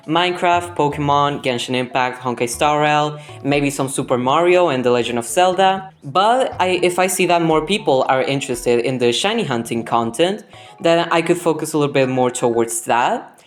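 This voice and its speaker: A young woman, a native Spanish speaker with a Spanish accent, narrating gameplay in a scripted voice-over as the host. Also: low voice. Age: young adult. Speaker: gaming video host. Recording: background music under the voice. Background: music.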